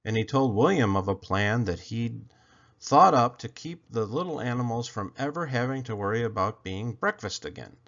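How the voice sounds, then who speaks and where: A man's voice: mid-range voice, animated, moderate pace, fairly bright tone, highs cut off. A man reading a children's story aloud expressively, leaning dramatically on key words. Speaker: storybook reader.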